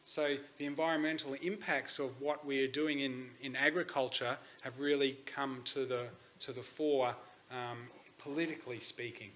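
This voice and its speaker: A man's voice: medium pitch, steady tone, normal speed, few pauses, fairly bright tone, slight background noise. An Australian man giving a conference presentation, talking in a hesitant way with frequent ums. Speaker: conference speaker. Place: conference hall.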